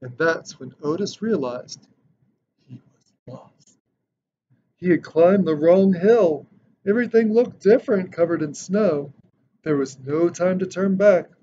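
A man in his thirties reading a children's picture book aloud with expressive, dramatic storytelling. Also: high-pitched, a little slow, pauses often. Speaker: storybook reader. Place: indoor decorated room. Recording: dull lo-fi sound with background noise.

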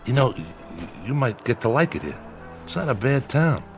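A man acting in a radio drama as a humble, eager jazz trumpeter with informal, streetwise and halting talk. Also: medium pitch, sing-song, over music. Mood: eager, smitten. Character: self-deprecating eager jazz trumpeter, smitten New York man.

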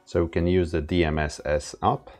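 A man narrating a casual step-by-step product setup tutorial in a plain, halting instructional way. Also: low-pitched, little pitch movement, a little mellow. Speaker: product demonstrator. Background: background music.

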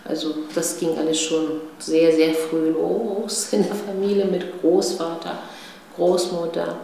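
A woman in her fifties with a Berlin accent tells her family history in a strongly reverberant room with faint noise behind her. Her voice is gravelly with creak. She speaks haltingly, searching for the next word and often checking for agreement. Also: lowish voice, level tone, dark tone. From East Berlin, Germany, born in Chile. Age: 50s. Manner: halting, searching for words, often seeking agreement with tag questions. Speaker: interviewee, political activist. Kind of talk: personal testimony, recounting family history to camera.

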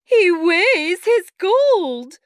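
An earnest, emotional storybook woman, speaks theatrically in a very high voice. The pitch swoops up and down at an unhurried pace. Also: big pitch swings, dry recording, studio quality, full character voice. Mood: indignant. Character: earnest, emotional storybook women, wives and servant.

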